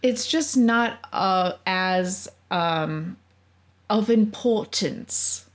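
A woman in her thirties co-hosting a podcast, chatty and teasing, quick to laugh. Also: mid-range voice. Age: 30s. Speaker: podcast co-host. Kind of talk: casual chat.

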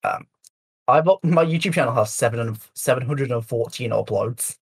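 A young man from Yorkshire with a light Yorkshire accent, chatting casually as a guest, soft-spoken and a bit hesitant. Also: medium pitch, moderate pace, vocal fry, slightly rough. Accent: light Yorkshire English. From Yorkshire, England. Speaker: speedrunner guest. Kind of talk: casual chat.

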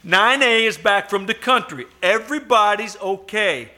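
A man's voice: high voice, animated, normal speed, pushed and bright, full character voice. A man playing a defensive, reluctant working-class building caretaker in a live old-time radio suspense play, colloquial and protesting. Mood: exasperated.

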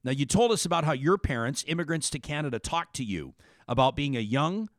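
A man hosting a radio talk show, interviewing a guest in an animated way and repeating words as he builds his questions. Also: on the high side, brisk, dry recording, studio quality.

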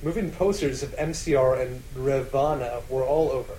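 A man's voice: medium pitch, moderate pace, dark tone, slight background noise, rough recording. A man co-hosting a podcast, reading fan fiction dramatically and bantering casually, often amused as he goes. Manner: often breaking into laughter while reading. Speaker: podcast co-host.

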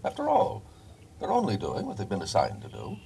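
A man's voice: lowish voice, huge pitch swings, fairly quick, vocal fry, mellow, exaggerated voice. An actor playing a fussy, literal-minded male alien in a comic science fiction radio play. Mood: fussy, chiding. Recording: noisy old radio drama recording.

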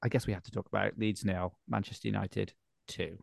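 A man's voice: fairly low pitch, fairly even intonation, brisk, creaky, no echo, clean studio sound. A man hosting a football podcast in casual, conversational chat. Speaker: podcast host. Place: video call podcast.

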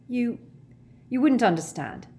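A woman's voice: on the low side, expressive, slow. A woman voicing a lovesick teenage boy in a storybook reading, breathless and dreamy, easily enraged. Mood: glum, evasive. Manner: breathless, sighing, overwrought delivery.

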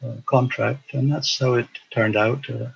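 An older man reflecting on his past in an interview, speaking in a hesitant, halting way. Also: mid-range voice, steady tone, vocal fry, highs cut off. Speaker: interview guest, former teacher abroad. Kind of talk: recollection in an interview.

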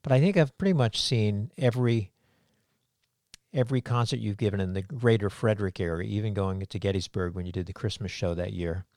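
Low-voiced man, dry close sound. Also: brisk, darkish tone, no echo. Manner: conversational, leading questions. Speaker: interviewer. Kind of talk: casual interview chat.

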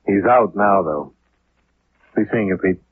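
An actor playing a cool, terse, wry insurance investigator in a 1950s radio detective drama. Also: male voice, deep voice, a little slow, husky. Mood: cool, guarded. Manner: terse, dry, matter-of-fact. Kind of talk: 1950s radio detective drama acting with first-person narration. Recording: narrow-band 1950s radio recording. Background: music.

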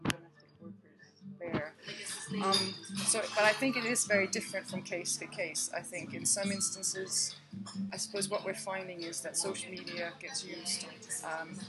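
Thoughtful lower-voiced woman professor. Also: low-quality recording. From Denmark. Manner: thoughtful, measured, many fillers. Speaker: professor, guest. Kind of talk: podcast interview. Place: tea room.

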